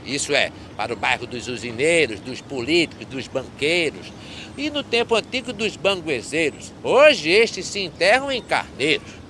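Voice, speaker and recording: A cartoon gravedigger from an animated verse drama, grumbling and sardonic. His voice is fairly high and sharp-edged as he declaims in rhyme, with city noise behind him. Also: moderate pace. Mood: sardonic, declaiming. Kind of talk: animated film verse dialogue.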